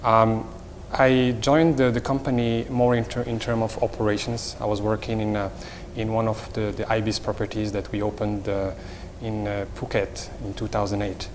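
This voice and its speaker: A man's voice: on the low side, level tone, normal speed. Moroccan-accented man in an echoey hall. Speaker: hotel company executive, interview guest. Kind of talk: semi-formal interview answers. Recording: reverberant hall, faint background noise.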